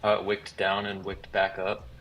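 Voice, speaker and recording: A man speaks in a low, flat voice that barely rises or falls. He is laid-back and terse, dropping short remarks into a trading voice chat. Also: moderate pace, slight background noise, low-quality recording. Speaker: trader in voice chat. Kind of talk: casual chat.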